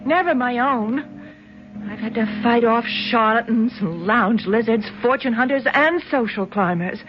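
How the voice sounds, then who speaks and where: An actress playing a senator's defiant, sharp-tongued daughter in a theatrical 1970s radio drama style. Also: female voice, fairly high pitch, measured pace, close to an everyday voice. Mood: defiant, bitter. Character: defiant, bitter senator's daughter, a young woman in love. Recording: dull vintage radio recording with music.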